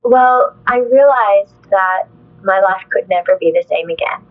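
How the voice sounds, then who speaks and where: An earnest young woman reflects aloud in an interview, her speech loose and filled with "like" and "you know". Her voice sits at an ordinary pitch. The audio is a little muffled and dull. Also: measured pace. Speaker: interview guest, actress.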